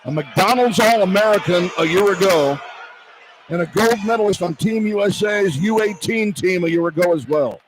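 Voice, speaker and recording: A man with a high-pitched voice calls a basketball game for radio. His phrases are short and running, with an excitable edge. Loud arena noise sits behind him the whole time. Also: moderate pace. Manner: clipped running phrases, excitable, bursts into shouts. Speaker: play-by-play radio announcer. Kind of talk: live basketball play-by-play commentary. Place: courtside in an indoor arena. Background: loud game ambience.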